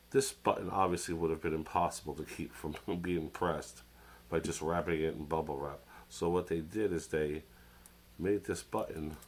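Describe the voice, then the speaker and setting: A man's voice: deep voice, slight background noise. A man with a cold and a nasal voice, rambling through a casual show-and-tell product review at a desk. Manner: rambling, hesitant, many fillers and restarts. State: has a cold, sounds nasal. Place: at a desk under an overhead camera.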